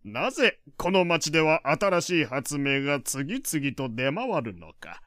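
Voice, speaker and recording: Lively preachy old gentleman. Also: a little high, unhurried, no room sound, clean studio sound. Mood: pleased, explaining. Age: older. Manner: archaic elderly phrasing, lecturing. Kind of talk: Japanese audio drama acting.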